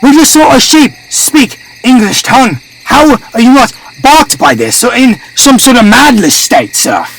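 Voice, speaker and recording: A man's voice: very animated, unhurried, creaky, few pauses, fairly bright tone, heavily acted. A man voicing a terrified, haunted male gunman who exclaims in alarm in a horror story. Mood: agitated disbelief. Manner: high-strung, alarmed exclaiming. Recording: distant mic with music behind.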